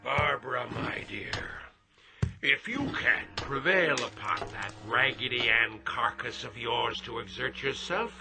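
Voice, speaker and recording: An actor playing a cruel, domineering old uncle in a radio drama, imperious and sneering as he delivers florid insults. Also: medium pitch.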